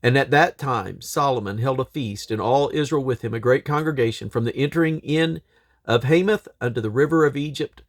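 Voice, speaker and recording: A man's voice: medium pitch, no room sound. Man solemnly reading scripture, close dry sound. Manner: measured, declamatory phrasing with deliberate pauses. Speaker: Bible reader.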